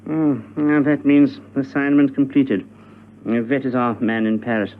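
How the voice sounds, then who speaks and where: An actor playing a fussy, self-assured forensic agent in a radio spy drama, pedantic and musing. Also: male voice, mid-range voice, level tone, a little slow, phone-quality sound, close to an everyday voice. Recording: band-limited, noisy old radio recording.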